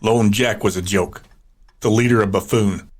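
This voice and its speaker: A lowish voice carries a confident fighter and lieutenant played by a man. He is dry, clipped and sure of himself, as in a dystopian audio drama. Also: fairly even intonation, normal speed, lightly acted. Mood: cocky, measured. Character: confident, cautious male fighter and military lieutenant. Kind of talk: post-apocalyptic audio drama acting.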